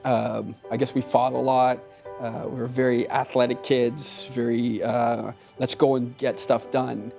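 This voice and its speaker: A man recalling his rowdy youth in a documentary interview, measured and hesitant with fillers. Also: medium pitch, little pitch movement, gravelly, darkish tone, faint music behind.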